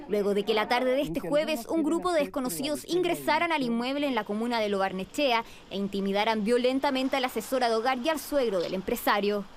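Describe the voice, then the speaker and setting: High-pitched woman reading news. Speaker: news reporter. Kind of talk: scripted news narration.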